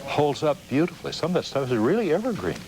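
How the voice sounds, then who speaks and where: A man's voice: a little high, very animated, fast talker, darkish tone. An American man, middle-aged or older, chatting casually as the host of a television interview. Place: TV studio interview. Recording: noisy 1990s local TV broadcast.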